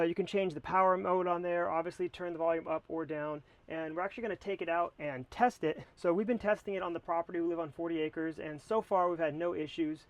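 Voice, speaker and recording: Higher-pitched American man giving a product review. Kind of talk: casual product review to camera.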